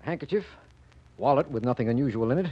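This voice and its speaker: A man playing an earnest, puzzled investigating official in a 1940s radio drama, gruff, insistent and matter-of-fact. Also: mid-range voice, expressive, fast, close to an everyday voice. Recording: muffled 1940s radio recording.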